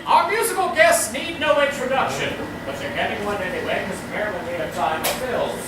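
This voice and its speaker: A woman's voice: mid-range voice, very animated, fairly quick. A woman hosting a live stage show and introducing the acts. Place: live stage in a theater, before an audience. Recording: very echoey hall, distant mic, loud noise.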